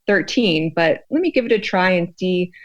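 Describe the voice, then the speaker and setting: A woman's voice: lowish voice, expressive, moderate pace. An American woman talking conversationally as an expert interview guest, feeling her way thoughtfully through her phrasing. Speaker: expert guest, sociologist researching fear.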